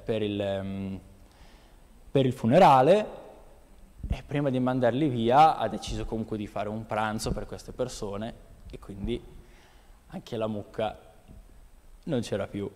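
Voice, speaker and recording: Young male preacher, mid-pitched, animated storyteller in a big echoing church. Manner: lively, switches into quick dialogue for animal story. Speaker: lay preacher. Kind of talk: sermon with storytelling. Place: large church hall, standing on stage. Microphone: handheld microphone. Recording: long hall reverb.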